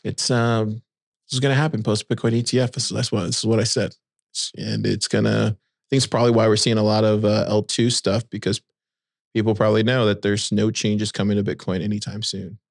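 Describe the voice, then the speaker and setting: A man co-hosts a casual chat show, and his low voice is gravelly and a little husky. He reads posts aloud and wanders off into loose, rambling asides. The sound is close and dry. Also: fairly quick, no room sound. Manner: relaxed, rambling, reads quotes aloud with fillers. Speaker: podcast co-host. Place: cold room by a window.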